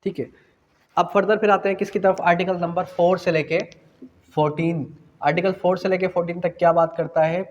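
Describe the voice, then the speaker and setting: A man's voice: high-pitched, flat delivery, a little fast, highs cut off. Pakistani man lecturing in a very echoing room. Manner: didactic, repeats phrases, asks and answers own questions. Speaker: law lecturer. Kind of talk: exam-prep lecture mixing in English legal terms. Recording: long echoing reverb of a hall.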